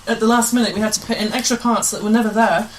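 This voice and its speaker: A woman's voice: a little high, fairly even intonation, fast, slight background noise, low-quality recording. A woman chatting as a guest in a radio interview, often chiming in. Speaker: guest, amateur pantomime writer and director.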